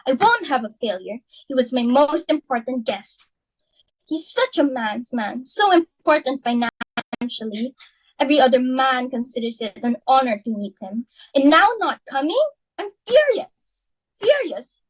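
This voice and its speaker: Woman acting an imperious, high-voiced society mother. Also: very animated, unhurried, slightly rough, heavily acted, poor audio. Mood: furious, indignant. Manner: imperious, melodramatic, scolding. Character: domineering, socially ambitious society mother and hostess. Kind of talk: dramatic reading of a stage play.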